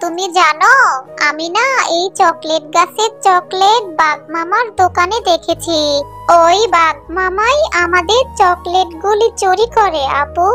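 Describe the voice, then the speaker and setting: Earnest little cartoon village girl, extremely high and bright, slow delivery. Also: full character voice. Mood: indignant. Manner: childlike, earnest. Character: earnest little village girls, sweet-loving young sisters. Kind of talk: children's cartoon story acting. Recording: music under the voice.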